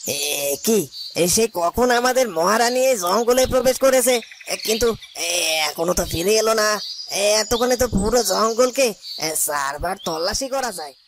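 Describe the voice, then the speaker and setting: A man's voice: high voice, animated, moderate pace. A man playing an anxious, cowardly royal guard in a fairy-tale cartoon, hesitant and stammering. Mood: worried. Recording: noisy, cricket and insect sounds behind.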